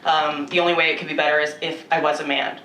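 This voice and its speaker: A woman's voice: low voice, flat delivery, fast talker, a little hiss or hum. A woman in her thirties from Canada doing a chatty, self-mocking stand-up set, often laughing at her own jokes. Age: 30s. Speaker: stand-up comedian. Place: comedy club stage with a live audience. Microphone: handheld stage mic, filmed from far in the room. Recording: echoey club, camera far off, loud crowd laughter.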